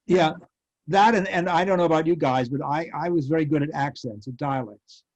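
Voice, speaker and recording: An American man in his eighties, a former radio actor, reminiscing in a panel interview with halting, animated storytelling. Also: a little high. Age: 80s. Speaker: former child radio actor, convention guest. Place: remote virtual convention call. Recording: muffled lo-fi call audio.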